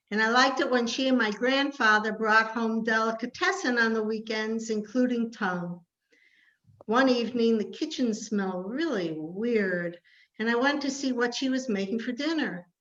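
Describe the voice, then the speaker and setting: A woman reads her own story in a sharp, bright and fairly high voice. The phrasing is measured and dramatic, and the sound is a little dull and lo-fi, like an online call. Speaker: participant sharing a family memory. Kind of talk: prepared personal story read aloud.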